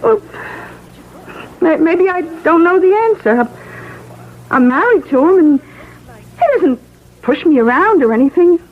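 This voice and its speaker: An actress playing a plain-spoken, good-hearted young housewife in an old-time radio mystery, flustered and hesitant. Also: female voice, extremely high pitch, expressive, a little slow, long pauses. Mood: uneasy, moved. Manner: hesitant, stammering, exclamatory. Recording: narrowband, hissy old radio broadcast.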